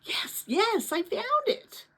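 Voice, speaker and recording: A woman voicing an excited, impatient boy on an adventure in a storybook read-aloud, talking in an urgent rush. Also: very high-pitched, takes it slowly, voice pushed up, exaggerated voice. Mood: excited, breathless.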